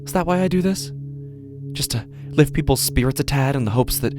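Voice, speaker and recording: A man playing a desperate, ingratiating street busker in a horror audio drama, speaking in a theatrical, halting way and repeating himself. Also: medium pitch, over music, natural voice. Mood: desperate, pleading. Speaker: actor in a horror fiction podcast. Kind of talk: dramatic first-person audio fiction monologue.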